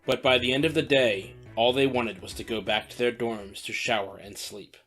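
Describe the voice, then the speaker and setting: Mid-pitched male narrator over faint music. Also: steady tone, moderate pace, fairly bright tone. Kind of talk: scripted audio drama narration.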